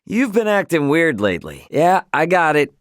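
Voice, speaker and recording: A man voicing a brash, loyal young male captain in a video game, talking in an informal, earnest, expressive way. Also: a little high, normal speed, no room sound, studio quality. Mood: suspicious.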